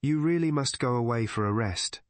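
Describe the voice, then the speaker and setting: A man playing a courteous, measured village vicar in an audiobook mystery. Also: medium pitch, no room sound, natural voice. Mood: concerned.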